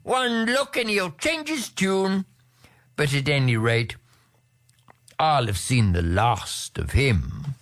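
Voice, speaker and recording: A storyteller voicing a blustering, self-pitying old king who talks in a shrill, flustered way and stammers when rattled. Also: big pitch swings, slow pace, fairly bright tone, exaggerated voice. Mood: gloating.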